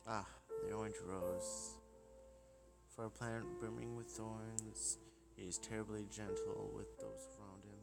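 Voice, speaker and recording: A man voicing an old, feeble flower spirit from a visual novel, speaking in slow, theatrical riddles. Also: on the low side, little pitch movement, gravelly. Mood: ominous. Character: old feeble talking flower wish, cryptic and ominous. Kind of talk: visual novel character reading. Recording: music playing behind the voice.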